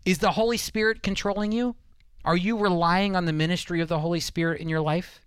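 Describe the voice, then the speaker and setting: A man's voice: high voice, steady tone, brisk, smooth, dry recording, studio quality. A man preaching as a Bible teacher, speaking straight to the listener with emphatic repetition and rhetorical questions. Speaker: Bible teacher hosting a radio program. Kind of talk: evangelical sermon, teaching directly to the listener.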